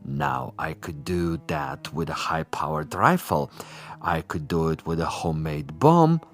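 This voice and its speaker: A deep-voiced, suave assassin commissar. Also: male voice, sing-song, a little slow, exaggerated voice. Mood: smug, menacing. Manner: pompous, precise, silkily polite diction. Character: suave, boastful male assassin commissar, coolly menacing connoisseur. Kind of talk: radio drama acting.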